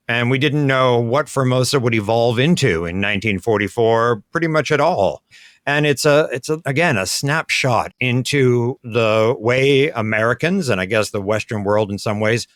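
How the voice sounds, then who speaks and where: A man's voice: medium pitch, a bit bright, no echo, clean studio sound. A man co-hosting a podcast, chatting in a rambling, enthusiastic way. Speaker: podcast co-host. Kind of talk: casual chat.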